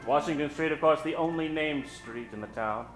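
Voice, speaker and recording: A man's voice: on the high side, moderate pace. A man narrating an old-time radio comedy as a storyteller. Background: music.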